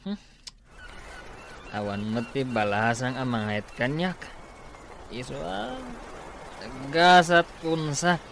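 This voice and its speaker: A befuddled, tipsy drinker from a radio comedy. He drags his words out extremely slowly in a gravelly creak, with grunts between them. His accent is northern Philippine. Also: mid-range voice, fairly even intonation, a bit bright. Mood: woozy, befuddled. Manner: halting, drawling, full of grunts. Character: tipsy, dim-witted unmarried drinker. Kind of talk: radio comedy drama acting. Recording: faint background noise.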